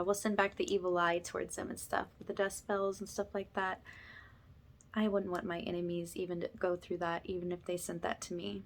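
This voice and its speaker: A woman speaking softly and gently as she leads an informal spiritual ASMR ritual, often slipping toward a whisper. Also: on the low side. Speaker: host, spiritual energy healer leading a session.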